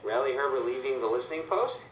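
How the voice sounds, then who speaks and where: A man's voice: mid-range voice, lively intonation, fast, a loud crowd, lightly acted. An actor playing an easygoing man at a remote listening post in a sci-fi game. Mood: relaxed.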